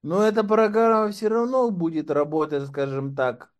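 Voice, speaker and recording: A man's voice: high voice, little pitch movement, normal speed, dull audio. A man speaking as an interview guest and doctoral candidate, talking in a wry, sardonic way. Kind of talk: casual conversation.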